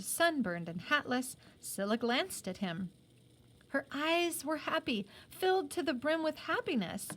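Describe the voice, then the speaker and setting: A woman reading a story aloud in a careful, teacherly way, with occasional explanatory asides. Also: high-pitched. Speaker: reader of a children's novel aloud.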